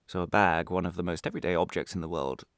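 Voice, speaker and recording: A man's voice: sits low, fast talker, dry recording, studio quality, close to an everyday voice. A man playing a guilt-ridden former prisoner telling his story in a radio drama, intense and brooding, in broken, repetitive phrases.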